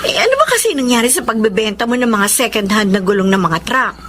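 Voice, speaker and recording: An actress playing a worried, patient young wife struggling with poverty in a radio drama. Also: female voice, fairly high pitch, lively intonation, fast, fairly bright tone, a little hiss or hum.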